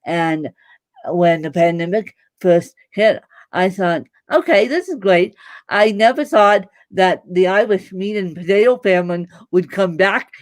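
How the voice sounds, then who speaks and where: A woman's voice: lowish voice, unhurried, no echo. A woman guest on a live video podcast, telling stories with emphatic, humorous delivery. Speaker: podcast guest and fellow podcast host. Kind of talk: casual video interview.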